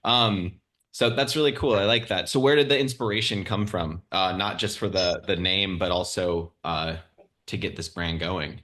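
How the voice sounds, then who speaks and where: A man's voice: sits low, normal speed, fairly bright tone. A man hosting a casual interview, easygoing and affirming, asking loosely phrased questions. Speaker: podcast host, interviewer.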